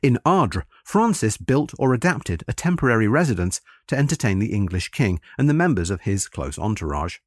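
A man reading a scripted history account in a measured, formal and articulate way. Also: on the low side, very animated, no room sound, clean studio sound. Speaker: history lecturer and narrator.

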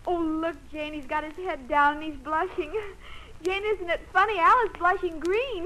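A woman's voice: extremely high pitch, steady tone, moderate pace. An actress playing a sweet, naive, dim-witted young woman in a 1940s radio sitcom. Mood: eager, fond. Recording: faintly noisy old radio recording.

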